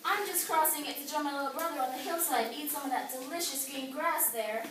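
Middle billy goat played by a woman, stubborn youngster, high and bright, distant echoey pickup. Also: a little fast, lightly acted. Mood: nervous, bargaining. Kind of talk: children's fairy-tale play. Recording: echoey noisy room, far from mic.

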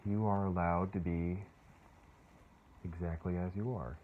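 A man leading a guided meditation in a soothing, unhurried way, leaving long pauses. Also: low-pitched, steady tone. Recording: muffled phone-like sound with clear background noise.

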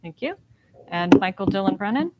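A woman's voice: mid-range voice, sing-song, normal speed, darkish tone. A woman moderating a candidate forum, introducing questions and calling on speakers. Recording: clear background noise.

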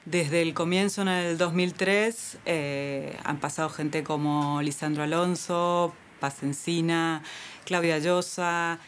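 A man organizing a film course, answering an interview with hesitant pauses. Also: on the high side, slight background noise.

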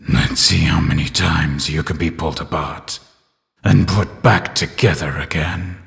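A man voicing a menacing, sadistic undead animatronic rabbit killer in a video game, with a growling, gravelly voice and drawn-out delivery. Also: a bass voice, fairly bright tone, exaggerated voice. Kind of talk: video game villain voice lines. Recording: long cavernous reverb.

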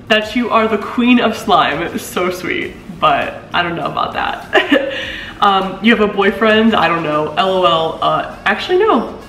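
Young woman vlogger with a deeper voice, casual and chatty, laughs a lot, recorded on a camera mic in a roomy apartment. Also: a bit bright. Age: 20s. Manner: chatty, laughs often, swoops up in pitch. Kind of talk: casual chat reading comments. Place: living room of an apartment. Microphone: camera mic at a few feet. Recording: echoey room.

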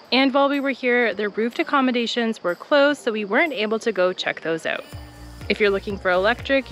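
A young woman vlogger talking to a handheld camera outdoors in a wooded park, giving a clear, practical tour of a campground with friendly tips. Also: on the high side, a bit bright. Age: young adult. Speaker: travel vlogger touring a campground. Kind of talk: informative park tour narration. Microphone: camera held at arm's length.

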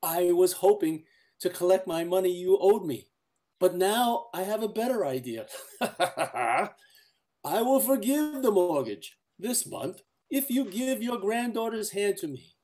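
A man's voice: sits high, lively intonation, a little slow, dark tone. An older man playing a leering, pompous villainous landlord in an old-time radio melodrama. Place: at home on a group video call.

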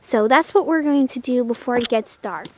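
High-voiced woman chatting on a vlog. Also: normal speed, dark tone, a little hiss or hum. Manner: chatty, talking fondly about and to animals. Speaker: horse vlogger. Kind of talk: casual vlog narration.

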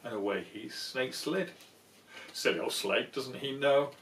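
A man's voice: mid-range voice, a little slow, a bit bright. An older man reading a children's story aloud expressively, recorded indoors a little away from the microphone. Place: small room indoors full of soft toys. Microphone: camera a short distance away. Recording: roomy, distant microphone.

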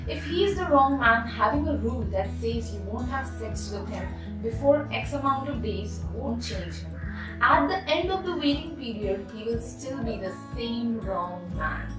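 A young woman vlogger speaking earnestly and emphatically to camera as she reads aloud and comments on a book. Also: fairly high pitch. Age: young adult. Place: bedroom, indoors. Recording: echoey room, distant mic, background music. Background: music.

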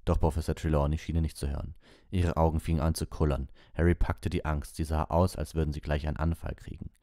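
Tiefe, dunkle Männerstimme liest vor. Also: male voice, rumbling low, little pitch movement, quick pace, dark tone, dry recording, studio quality. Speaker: audiobook reader. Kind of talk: narrated fiction read aloud.

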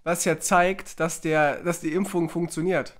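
A man co-hosting a podcast, chatty and rambling, with hesitations and fillers between thoughts. His voice sits a little higher than usual for a man. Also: steady tone, measured pace. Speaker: podcast co-host. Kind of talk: casual podcast banter.

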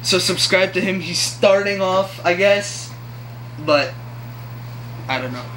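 A man's voice: high voice, slow, pushed and bright, slight background noise, poor audio. A teenage boy hosting a casual vlog from home, joking and rambling with friends. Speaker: vlog host. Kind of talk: casual chat.

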